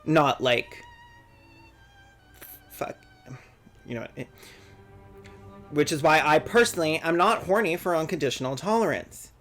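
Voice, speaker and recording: A man's voice: on the high side, expressive, unhurried, pauses often, fairly bright tone, faint music behind. A young man delivering a scripted video essay, reading quotes and dropping sarcastic, profane jokes. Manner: sardonic, profane asides.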